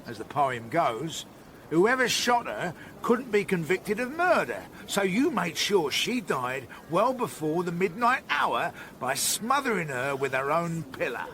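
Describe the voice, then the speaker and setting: An actor playing a gruff, sardonic older chief inspector with a British accent in a radio drama, talking in a blunt, patronising way. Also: male voice, on the high side, big pitch swings, a little slow, a bit bright, slight background noise. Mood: accusing.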